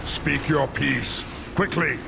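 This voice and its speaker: An actor playing an imperious, ruthless giant robot warlord in a video game, commanding, menacing and grandiose. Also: male voice, slow pace, vocal fry, exaggerated voice. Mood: cold menace. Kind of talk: video game cutscene acting. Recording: loud music and battle rumble behind.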